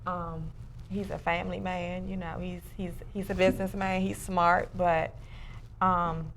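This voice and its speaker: A woman's voice: on the low side, flat delivery, a little slow, a little hiss or hum. A woman chatting casually as an interview guest. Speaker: interview guest, actress and co-producer.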